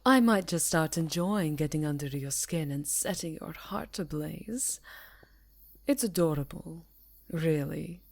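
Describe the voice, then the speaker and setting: A woman's voice: sits low, expressive, a little slow, creaky, darkish tone, exaggerated voice. A woman playing a haughty, seductive vampire countess who speaks in a poised, teasing, condescending purr in an ASMR fantasy roleplay.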